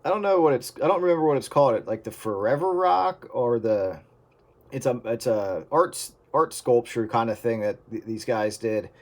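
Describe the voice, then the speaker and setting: A man's voice: mid-range voice, normal speed, a little hiss or hum. A man chatting casually and musingly with friends over CB radio. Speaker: participant in CB radio chat.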